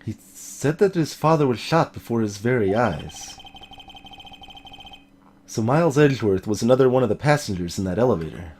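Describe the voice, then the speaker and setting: A man's voice: mid-range voice, expressive, normal speed, a little mellow, slight background noise. A man doing a let's play, reading game dialogue dramatically with playful, wry asides. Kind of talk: dramatic read-aloud of game script with joking asides.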